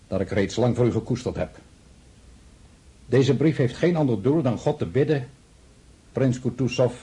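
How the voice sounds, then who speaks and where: An actor playing a courteous, stately emperor reading a diplomatic letter in a ceremonious, deliberate way in a radio drama. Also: male voice, on the low side, warm tone, slightly rough.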